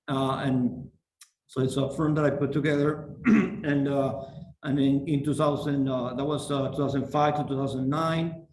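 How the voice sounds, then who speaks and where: A middle-aged man from Venezuela, giving a halting orientation talk over a video call, full of fillers and restarts. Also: mid-range voice, steady tone, darkish tone. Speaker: program founder. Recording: roomy echo, faint noise.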